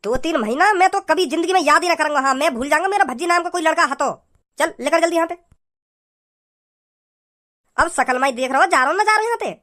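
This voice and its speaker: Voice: very high voice, expressive, fast talker, pauses often, sharp tone, full character voice. A performer voicing an angry, scolding village father in a comedy cartoon, with a Braj accent and a dismissive, repetitive nagging manner. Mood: irritated. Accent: Braj, western Uttar Pradesh.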